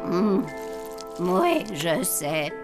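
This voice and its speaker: A woman's voice: fairly low pitch, sing-song, extremely slow, over loud music, heavily acted. A woman voicing a prim, courteous elderly lady who speaks formally and politely.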